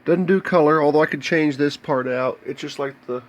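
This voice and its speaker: An American man talking casually and off the cuff as he shows electronics, explaining in a halting, plain-spoken way. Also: on the high side. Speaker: hobbyist video maker showing electronics. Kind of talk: casual unscripted show-and-tell. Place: indoors, cluttered home workshop.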